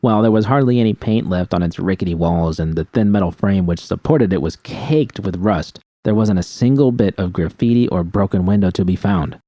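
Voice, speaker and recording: A man's voice: low-pitched, brisk, hardly any pauses, warm tone, no room sound. A man reading scripted first-person fiction as a narrator.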